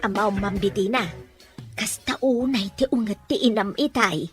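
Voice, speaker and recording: A woman's voice: mid-range voice, normal speed, faint music behind, natural voice. A Filipino woman playing a chatty, deferential older household helper in an Ilocano radio drama, folksy and placating. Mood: gossipy.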